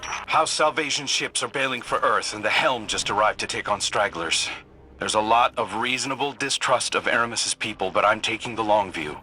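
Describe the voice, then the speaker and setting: An actor playing an earnest, duty-bound sci-fi commander, giving measured, serious mission briefings in a video game. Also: male voice, lowish voice, bright, forceful tone, slight background noise, low-quality recording. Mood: focused, urgent.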